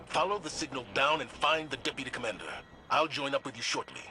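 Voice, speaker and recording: Man as a grave commander, mid-pitched. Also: sharp tone, close to an everyday voice. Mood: focused. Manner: measured, authoritative orders. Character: resolute, grave male military commander. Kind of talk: dramatic video game voice acting.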